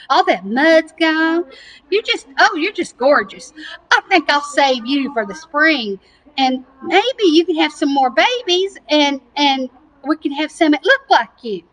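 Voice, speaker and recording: A woman's voice: high-pitched, fairly bright tone, low-quality recording. A woman voicing a folksy, admiring male farmer in a children's story, musing and drawling in a Southern US accent.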